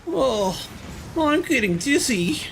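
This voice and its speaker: A man's voice: very high-pitched, sing-song, slow pace, vocal fry, fairly bright tone, voice pushed up, exaggerated voice, low-quality recording. A man voicing a timid, anxious little village child in video game dialogue. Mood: scared.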